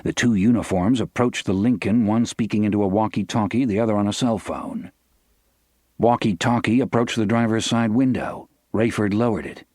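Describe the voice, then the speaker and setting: A man narrates a dramatic novel in a fairly low voice. The reading is scripted and recorded close, with almost no room sound. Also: moderate pace, darkish tone, dry recording. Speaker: audiobook narrator.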